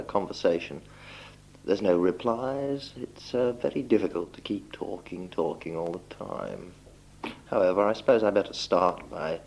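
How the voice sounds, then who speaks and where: A young man from England talks in a deep, gravelly voice. He speaks informally but politely, pausing and choosing his words as he records a message for relatives. It sounds like a home reel-to-reel tape from the 1960s. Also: darkish tone, slight background noise, low-quality recording. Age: young adult. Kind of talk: spoken letter, informal monologue.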